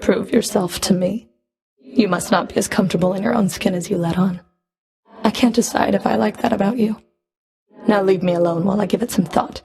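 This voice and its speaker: A woman playing a cold, proud Fury of the underworld in a video game, dry and cutting, with a creaky edge to her voice. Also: mid-range voice, fairly even intonation, fairly quick, dark tone, close to an everyday voice. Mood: guarded, stern. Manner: dry, cutting, haughty, controlled. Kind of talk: video game character voice lines.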